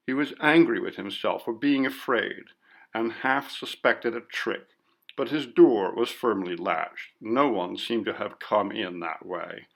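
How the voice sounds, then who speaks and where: A man narrating a classic ghost story in a measured, literary storytelling manner. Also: fairly low pitch, vocal fry, a bit bright. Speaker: audiobook narrator.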